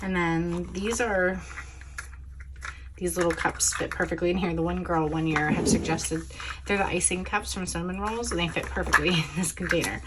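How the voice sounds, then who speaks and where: Hoarse chatty woman, slightly low. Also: steady tone, moderate pace, noisy background. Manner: chatty, rambling, lots of fillers and self-corrections. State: hoarse, recovering from illness. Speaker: scrapbooking crafter showing supplies. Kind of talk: casual show-and-tell chat. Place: home craft desk.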